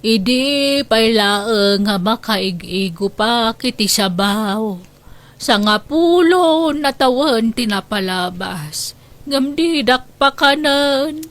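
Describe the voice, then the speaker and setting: A woman's voice: fairly high pitch, expressive, takes it slowly, fairly bright tone. A woman playing a senile, childish old grandmother in a radio drama, whining and singsong. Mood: muddled. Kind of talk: Ilocano radio drama acting.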